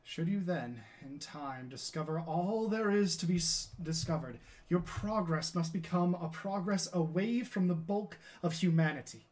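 A man's voice: a little high, measured pace, hardly any pauses, a little mellow, pitched above the performer's own voice, lightly acted. A man performing a stage monologue as a defeated, self-condemning aging scientist, in a theatrical, declamatory manner. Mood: fervent, bitter. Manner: theatrical, declamatory, impassioned oratory.

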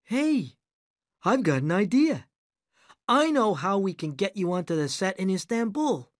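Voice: big pitch swings, a little slow, dry recording, clean studio sound. A reader voicing a bossy adult male film director who barks orders in a raised, commanding voice. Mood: exasperated. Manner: loud, commanding, raised pitch. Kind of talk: audiobook character voice.

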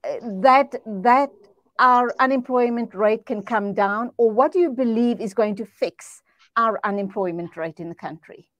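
An older woman hosting a talk show, putting measured questions to a guest and adding short affirming interjections, speaking into a headset in a studio. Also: fairly high pitch, no room sound. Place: studio indoors.

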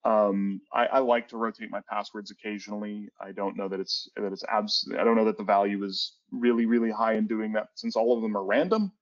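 A man's voice: lowish voice, a little fast, hardly any pauses. Man rambling through a webinar, muffled. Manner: rambling with frequent restarts and fillers. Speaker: webinar presenter on cybersecurity. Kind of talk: casual conversational webinar talk. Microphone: headset on a video call. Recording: dull lo-fi webinar audio.

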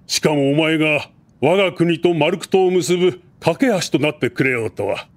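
An actor playing a dignified middle-aged king who speaks in a formal, measured way in an anime drama CD. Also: on the high side, close to an everyday voice. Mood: warm.

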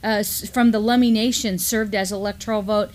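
A middle-aged woman co-hosting a news discussion in a conversational style, with halting, filler-heavy delivery. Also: mid-range voice, fairly even intonation, a little mellow. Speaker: co-host and university lecturer. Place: small studio, seated at a table.

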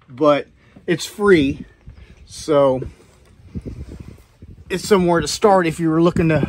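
A middle-aged man's voice with a slightly raised pitch, loose and plainspoken. He wanders through his points outdoors, restarting sentences as he goes. It sounds picked up by a handheld camera held close to him. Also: darkish tone, slight background noise. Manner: plainspoken, rambling, trails off and restarts. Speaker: sailing vlogger. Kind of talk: casual walkthrough commentary. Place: outdoors in a boatyard, walking around boats. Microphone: handheld camera, selfie framing.

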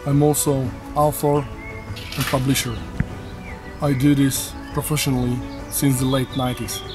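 A man's voice: medium pitch, flat delivery, moderate pace, over music. A man who leads a warrior group, speaking earnestly in a documentary interview outdoors. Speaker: warrior group leader and author.

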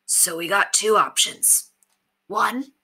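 A woman playing a male bandit king in an audiobook story, grave and resolute, speaking in a slow, direct way with rustic phrasing. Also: mid-range voice, pushed and bright, breathy edge, poor audio. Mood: calm, resolute.

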